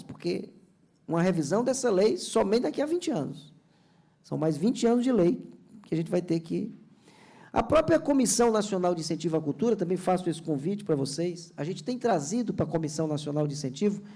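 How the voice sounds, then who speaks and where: Gravelly official from Ceará. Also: male voice, high-pitched, dark tone. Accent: Brazilian Portuguese, Ceará. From Ceará, Brazil. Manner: earnest, explanatory, many restarts and fillers. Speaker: government culture official on a panel. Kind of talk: seminar panel speech.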